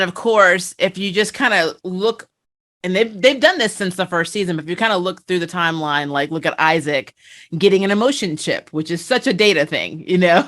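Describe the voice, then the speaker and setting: A woman hosting a casual fan review, chatting in an animated, storytelling way. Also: lowish voice. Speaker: talk show host and interviewer.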